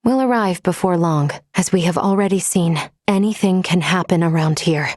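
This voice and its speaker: A woman's voice: on the low side, darkish tone, dry recording, natural voice. Composed, precise female android acted by a woman, dry sound. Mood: calm, alert. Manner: formal, measured, precisely worded. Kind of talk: video game voice acting.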